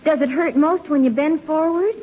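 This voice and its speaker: A woman's voice: high voice, normal speed, natural voice. An actress playing a scatterbrained, guileless young woman, earnest and hesitant, in a 1940s radio comedy. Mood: guileless, helpful. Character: scatterbrained, naive, chirpy young lady lodger. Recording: muffled, hissy old radio recording.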